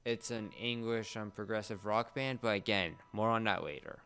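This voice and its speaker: Guy with a smooth lowish voice explaining stuff casually, keeps stopping to find his words. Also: fairly even intonation, fairly bright tone. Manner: halting, searching for words. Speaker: co-host of an entertainment talk show. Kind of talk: casual explanatory chat about anime.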